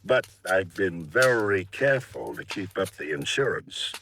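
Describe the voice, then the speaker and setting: A man's voice: on the low side, animated, measured pace, gravelly, bright, forceful tone, heavily acted. An actor playing a smug, brazen American businessman in 1950s radio drama, self-satisfied and unbothered. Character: smug, brazen male businessman with a burned warehouse. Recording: vintage radio recording, faint noise.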